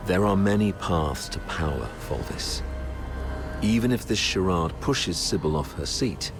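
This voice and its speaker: An actor playing a grave, composed military commander who bargains in a deliberate, measured way, in a dramatic game cutscene. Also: male voice, sits low, expressive, over music.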